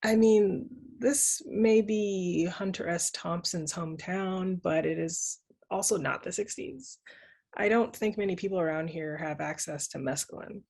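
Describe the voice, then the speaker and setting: Woman acting as chill supportive student friend in a play, young, lowish raspy voice, reassuring but bit hesitant. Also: a little slow, a little mellow, full character voice. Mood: cautious, supportive. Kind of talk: staged play reading.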